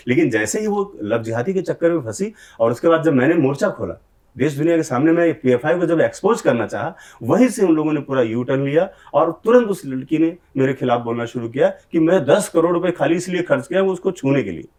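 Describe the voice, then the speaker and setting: A man's voice: on the high side, expressive, a little fast, darkish tone. Aggrieved man pleading his case. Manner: earnest, aggrieved, speaks in long run-on accusations. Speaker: film director, interviewee. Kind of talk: interview answers.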